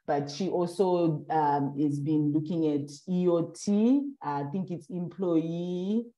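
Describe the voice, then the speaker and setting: A woman's voice: fairly low pitch, slow, dark tone, echoey room, rough recording. A young adult woman from Southern Africa, interviewing in a casual, hesitant way with many fillers.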